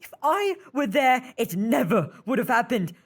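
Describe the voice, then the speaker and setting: A woman's voice: fairly high pitch, very animated, unhurried, slightly rough, exaggerated voice. A woman playing an earnest, anxious boy who leads street urchins, emotional, in an audio drama. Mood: distraught.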